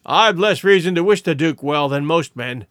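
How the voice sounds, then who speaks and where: A man voicing a morose, suspicious country innkeeper in an audiobook story, speaking gruffly and in rustic phrases. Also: a little high, expressive, normal speed, a bit bright, no room sound, clean studio sound. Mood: resentful.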